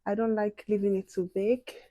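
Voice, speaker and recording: A soft-spoken young woman chatting casually to viewers while doing her makeup. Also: medium pitch, little pitch movement, moderate pace, no echo, lo-fi sound. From outside the UK. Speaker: beauty and advice vlogger.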